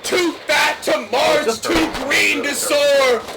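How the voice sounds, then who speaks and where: A man loudly declaiming mock-heroic slam poetry in drawn-out lines, shouting it out during an online game. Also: very high voice, creaky. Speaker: video game player reciting a mock poem. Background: loud music.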